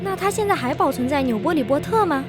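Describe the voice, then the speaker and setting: A woman's voice: sits high, lively intonation, fast, music in the background. A woman playing a courteous, eager reporter asking questions in a radio drama interview. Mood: curious, polite. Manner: courteous, inquisitive. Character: polite, eager female reporter conducting an interview.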